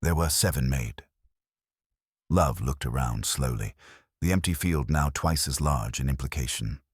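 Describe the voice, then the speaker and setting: A man reading a scripted mystery story in a measured, literary storytelling manner. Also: a bass voice, breathy edge, dry recording, studio quality. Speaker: narrator.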